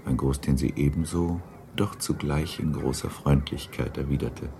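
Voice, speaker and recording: Male narrator, very low voice, literary radio drama reading, faint noise behind. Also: fairly even intonation, moderate pace, creaky, mellow.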